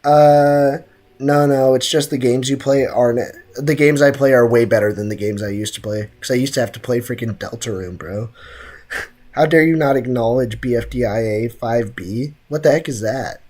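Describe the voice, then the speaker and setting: A man's voice: mid-range voice, moderate pace. Hyped young male game streamer. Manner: excitable, joking, trash-talking. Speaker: gaming streamer. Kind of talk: live game commentary, chatting with viewers.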